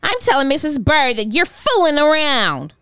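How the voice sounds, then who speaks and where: A woman's voice: high voice, sing-song, normal speed, sharp tone, no echo, clean studio sound, soft background music, voice pushed up, full character voice. A woman voicing a fussy young animal schoolboy in a children's storybook, whiny and insistent. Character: Philip, fussy, rule-following young boy animal schoolkid.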